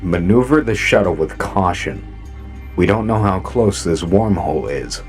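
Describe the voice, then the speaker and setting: A man playing a calm, authoritative robot commander in a sci-fi audio drama, speaking in a measured, commanding way. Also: low voice, faint music behind.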